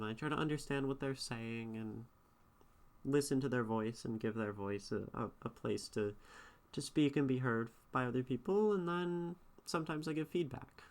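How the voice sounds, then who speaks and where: A woman's voice: extremely low pitch, clean tone. A woman streamer chatting casually, reading and answering her chat in a thoughtful, rambling way with frequent restarts. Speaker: streamer and poetry critic.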